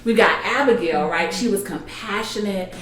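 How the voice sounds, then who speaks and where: A woman's voice: mid-range voice, normal speed, a bit bright, slight background noise. A woman in her thirties hosting a church talk show around a table, cheerful and leading the questions. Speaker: host. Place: living room at a glass table. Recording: roomy living room sound.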